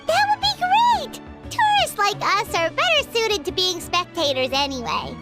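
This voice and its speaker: A woman voicing a tiny, excitable, inquisitive fairy companion in a video game, with a chirpy childlike manner. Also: very high-pitched, a little slow, heavily acted. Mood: cheerful, inquisitive. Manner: chirpy, inquisitive, refers to self by name. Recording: loud music under the voice.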